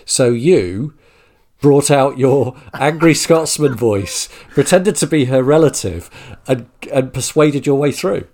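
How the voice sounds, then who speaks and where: A man with an average-pitched voice hosts a podcast interview. He speaks casually and conversationally, prompting his guest. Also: lively intonation, takes it slowly, darkish tone.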